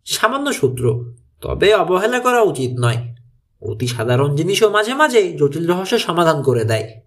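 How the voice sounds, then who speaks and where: High-voiced male audiobook narrator. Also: big pitch swings, normal speed, fairly bright tone. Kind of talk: scripted narration of a mystery thriller story.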